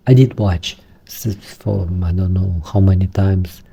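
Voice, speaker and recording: A man with a Romanian accent talks through a tech tutorial in slow, halting English, often restarting his sentences. His voice is dark and husky, and sits on the low side. The recording sounds dull and lo-fi. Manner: halting, searching for words, many restarts. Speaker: tech vlogger.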